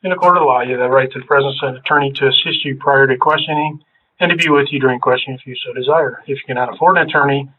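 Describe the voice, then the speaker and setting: Male detective, breezy and friendly in the box, quick delivery, phone-thin archival audio. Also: a little hiss or hum. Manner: casual, friendly questioning. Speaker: police detective. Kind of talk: interrogation small talk. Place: police interview room. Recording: tinny low-fidelity room recording.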